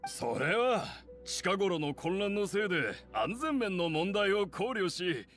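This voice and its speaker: A man's voice: high-pitched, big pitch swings, slow, a bit bright, faint music behind, full character voice. An actor playing a scheming, easily indignant elderly clan head in an anime game, formal and deferential to his ruler. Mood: defensive, obsequious. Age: older. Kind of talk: anime game character acting.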